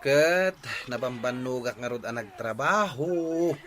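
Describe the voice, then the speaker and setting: A man's voice: mid-range voice, expressive, unhurried, fairly bright tone. A man acting a helpless, whiny, grumbling husband in an Ilocano radio drama, with an Ilocano accent. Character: helpless, grumbling husband always late for work.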